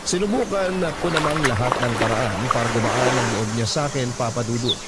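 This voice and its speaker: A man's voice: mid-range voice, a little fast, very noisy, natural voice. A man giving scripted dramatic narration of a personal letter story.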